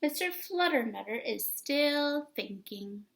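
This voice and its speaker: A woman's voice: high-pitched, expressive, slow, a bit bright, pitched above the performer's own voice, full character voice. A woman voicing a stern mother Siamese cat who scolds and lectures emphatically in a storybook. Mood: firm.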